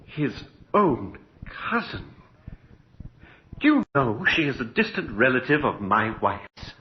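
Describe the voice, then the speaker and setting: Sceptical lordly count, extremely varied pitch, emphatic, theatrical, old radio drama, dull recording. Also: male voice, very animated, slow pace. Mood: dry, indignant. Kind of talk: 1980s radio drama acting.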